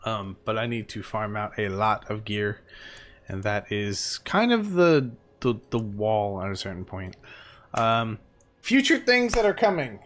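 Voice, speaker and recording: A man hosting a live game stream at his desk mic, talking in a loose, rambling way full of fillers. His pitch jumps around a lot as he talks. He sounds tired, and his voice is starting to go. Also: very animated, unhurried, a bit bright. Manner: rambling, chatty, lots of fillers. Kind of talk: casual live-stream chat.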